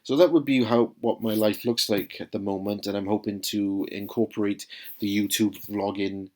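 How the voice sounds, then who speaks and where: Welsh man vlogging, low voice, hesitant. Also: fairly even intonation, normal speed, darkish tone. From South Wales. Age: 30s. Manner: hesitant, many ums and uhs, friendly and earnest. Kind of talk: casual talking-to-camera vlog.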